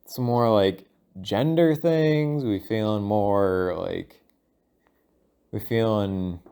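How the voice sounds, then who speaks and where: A man thinking aloud in a casual, rambling audio log, halting and informal, sometimes voicing imagined lines of dialogue. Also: on the low side, warm tone, clear, resonant tone. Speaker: solo audio log host.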